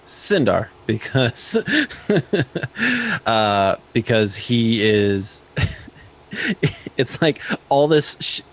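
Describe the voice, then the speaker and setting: A man playing in a tabletop game stream, laughing and halting as he talks. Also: medium pitch, sing-song. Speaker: player. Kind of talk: casual chat.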